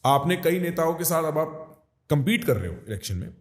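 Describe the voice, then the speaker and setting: A young man in his late twenties from India hosts the interview. He asks his questions in a relaxed, casual way, mixing Hindi and English freely, and his voice sits a little high for a man. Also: a little fast. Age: 20s. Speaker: podcast host, interviewer.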